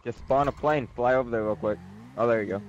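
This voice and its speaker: A man's voice: mid-range voice, quick pace, highs cut off, a little hiss or hum. A man talking casually as a player in an online gaming voice chat.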